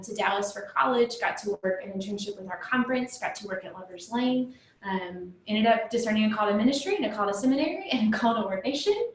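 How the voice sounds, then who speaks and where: A young woman on church staff introducing herself earnestly on a panel, often pausing with um. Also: medium pitch, a bit bright. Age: young adult. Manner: earnest, with frequent ums. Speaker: church staff, ministry candidate. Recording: very echoey room.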